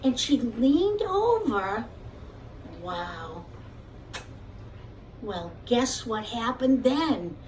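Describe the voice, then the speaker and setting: Lady teacher telling kids a story, high voice, slow, bit far from the mic w faint noise. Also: big pitch swings, darkish tone. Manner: addresses young listeners directly with simple phrasing. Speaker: young children's teacher, storyteller. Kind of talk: children's storytelling, puppet show.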